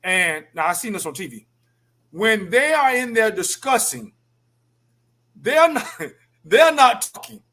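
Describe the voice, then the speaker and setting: A man moderating a panel discussion, addressing the guests directly in an animated way. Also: high-pitched, a little slow, long pauses.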